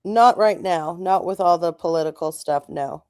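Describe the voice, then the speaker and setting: Radio co-host woman, short dry replies, mellow dark voice. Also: fairly low pitch, moderate pace, smooth, no echo. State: in back pain. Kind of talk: casual on-air chat.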